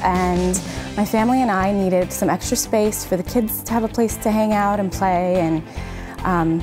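A woman in her thirties from the United States giving a casual customer testimonial. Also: fairly low pitch. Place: indoors on a couch. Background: music.

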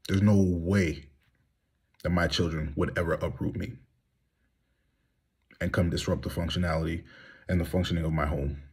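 A man's voice: low voice, steady tone, moderate pace, long pauses, a little mellow, breathy edge. A man in his thirties speaking bluntly and heatedly in a candid monologue, reclining in a small room and filming himself on a phone held at arm's length. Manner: heated, blunt, rhetorical questions. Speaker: vlogger.

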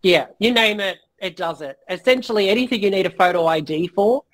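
A man guesting on a business interview, talking frankly and casually with many fillers and the odd swear word, his voice going a little hoarse. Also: high voice, lively intonation, fairly quick, a bit bright. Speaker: guest entrepreneur.

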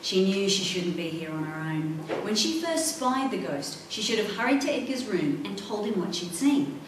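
A middle-aged woman reading a children's adventure novel aloud in an expressive, dramatic way. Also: mid-range voice, moderate pace. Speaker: author reading own book. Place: indoor library room, seated at a table. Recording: echoey room, distant mic, faint noise.

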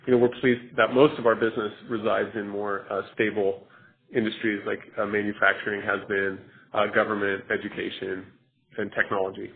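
A man answering investor questions on a conference call as a company executive, in measured, hesitant corporate phrasing. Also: fairly low pitch, level tone, gravelly. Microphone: telephone conference call. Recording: narrowband phone-like conference line.

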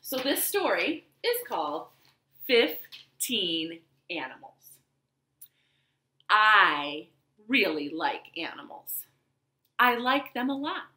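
A young woman reads aloud to small children as a storytime host. Her voice is bright and forceful, with big melodic swings and an unhurried pace. It sounds like a home living room with noticeable echo. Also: mid-range voice. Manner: animated, slow deliberate delivery for young children. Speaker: library storytime host and reader.

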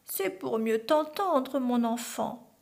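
A woman's voice: a little high, animated, takes it slowly, gravelly, darkish tone, exaggerated voice. A woman voicing a sly, hungry male wolf in a children's tale, with a wheedling, falsely friendly manner. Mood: disguised, wheedling.

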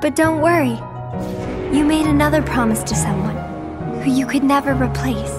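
A gentle, wistful teenage girl in a video game. Her soft voice sits high and reassures tenderly, with music playing behind. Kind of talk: video game cutscene acting.

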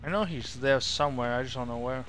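A man's voice: mid-range voice, quick pace, background noise. A man doing casual live gameplay commentary as a streamer, rambling and thinking aloud. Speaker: video game streamer.

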